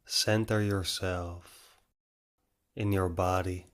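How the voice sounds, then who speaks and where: A man leading a guided meditation in short, measured, instructive phrases with long pauses between them. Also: low-pitched, little pitch movement.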